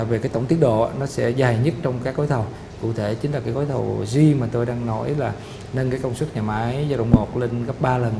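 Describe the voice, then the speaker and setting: A middle-aged man explaining a city project in a formal interview, measured and full of "ờ" pauses. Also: mid-range voice, background noise. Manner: measured, explanatory, frequent "ờ" fillers. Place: studio office set with photo wall. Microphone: lapel mic.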